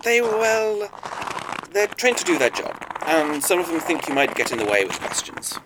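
An actor playing a polite, guarded spy boss with veiled menace in an audio drama, speaking formally and with measured courtesy. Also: male voice, on the high side, very animated, noisy background. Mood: guarded, courteous.

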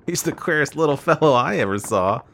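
A man's voice: fairly high pitch, lively intonation, fairly quick, a bit bright, a little hiss or hum, pitched above the performer's own voice. A man voicing a brash, boyishly eager young man from the East in a storybook reading, teasing and direct. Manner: direct, teasing, scornful of caution.

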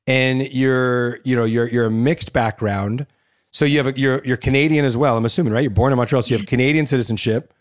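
A man interviews his guest at a fast clip, circling back over his own words. His pitch is in the middle range. He often laughs between questions. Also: steady tone. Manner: quick, laughing, repeating himself. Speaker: co-host interviewer. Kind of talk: casual interview.

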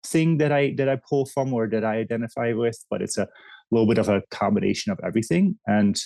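A man from the Netherlands with a Dutch accent, chatting as a podcast guest, talking haltingly with lots of restarts and fillers. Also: lowish voice, gravelly, warm tone, no room sound. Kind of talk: casual interview chat.

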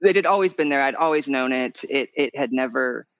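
A man in his thirties with a light, higher voice, talking openly in a guest interview and lifting his pitch high when animated. His pitch sits around the middle for a man. The recording is dry and close, with no room sound. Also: fairly quick, dry recording, highs cut off. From Missouri. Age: 30s. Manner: expressive, swoops up in pitch when animated, laughs often. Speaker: podcast guest, memoir author. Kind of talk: candid conversational interview.

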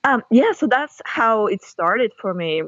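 A woman's voice: on the high side, a little slow, no room sound, lo-fi sound. A woman in her thirties from Russia with a Russian accent, telling stories animatedly in an interview and laughing often. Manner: animated storyteller, laughs often, restarts phrases. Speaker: guest author. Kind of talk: casual interview chat.

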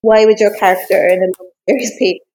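A woman's voice: mid-range voice, steady tone, fast, a little mellow. A woman giving informal interview answers as a guest author, thinking aloud as she talks. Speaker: crime novelist, podcast guest. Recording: reverberant hall-like echo.

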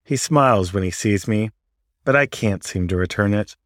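A man's voice: fairly low pitch, normal speed, dry recording, studio quality. A man narrating a first-person story in an earnest, confessional manner.

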